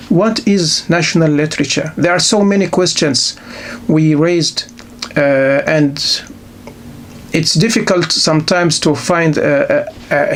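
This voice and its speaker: Gravelly, halting man lecturing. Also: on the high side, slight background noise. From Egypt. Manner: halting, many restarts and repeated words; poses rhetorical questions. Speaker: literature lecturer. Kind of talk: unscripted university lecture.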